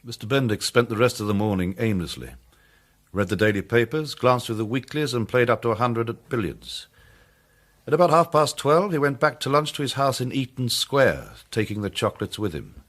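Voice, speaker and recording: An actor playing a composed English amateur detective in a period drama, recounting a case in a measured, formal, courteous manner. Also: male voice, mid-range voice, expressive, a bit bright. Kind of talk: British period drama narration.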